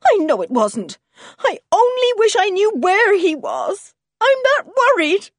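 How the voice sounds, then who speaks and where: A woman's voice: mid-range voice, wildly swooping pitch, normal speed, a little mellow, breathy edge, dry recording, clean studio sound, exaggerated voice. A woman voicing an indignant farmer's wife in a storybook, fiercely loyal to her husband and emphatic in her scolding. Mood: angry, worried. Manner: emphatic, scolding, repeats herself.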